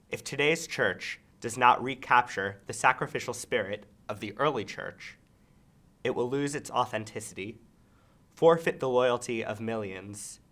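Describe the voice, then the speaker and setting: Male reader, medium pitch, public reading of a historic written text. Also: a bit bright.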